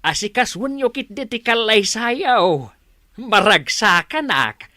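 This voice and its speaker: A woman voicing a calm, approving village father in an Ilocano comedy radio drama, measured and reassuring. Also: on the high side, no room sound, clean studio sound, exaggerated voice.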